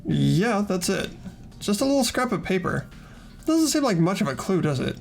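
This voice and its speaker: A man voicing an earnest, easily flustered teenage boy in an amateur visual novel dub, both narrating and speaking with a hesitant, expressive delivery. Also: high voice, moderate pace, gravelly, soft background music, full character voice. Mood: reluctant.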